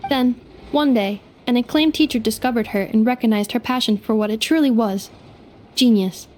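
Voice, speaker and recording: A woman voicing a gentle female robot companion in a video game, speaking in a measured, formal, slightly mechanical way. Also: fairly high pitch, close to an everyday voice, poor audio. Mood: explaining.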